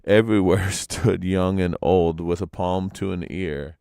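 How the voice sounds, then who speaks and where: A man narrating legends as a storyteller, in a dramatic, expressive reading. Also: deep voice, a little slow, gravelly, smooth, no echo, studio quality.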